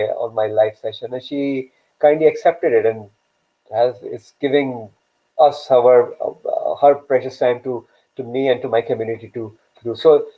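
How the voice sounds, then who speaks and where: Man with a native Urdu tongue on a tinny line. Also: mid-range voice. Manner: rambling, repeats words while thinking. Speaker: host. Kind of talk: live online talk show.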